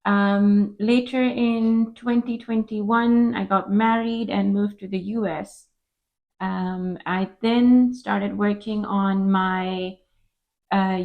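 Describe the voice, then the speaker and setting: A woman's voice: mid-range voice, lo-fi sound. A woman from Dubai, a veterinarian, explaining things carefully with many fillers as she answers interview questions. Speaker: veterinarian guest.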